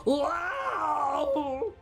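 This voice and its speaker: A woman's voice: sits high, very animated, very slow, gravelly, loud music behind the voice, pitched above the performer's own voice, full character voice. A woman voicing a boastful, gluttonous bully of a male giant in a children's storybook read-aloud. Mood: outraged hollering. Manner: blustering, boastful.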